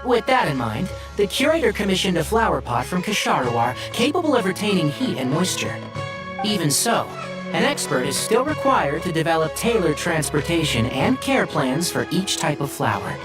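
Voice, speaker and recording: A man playing a brisk, responsible young expert in a video game, explaining things politely. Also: fairly high pitch, very animated, rough recording. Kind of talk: video game character acting. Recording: music behind the voice.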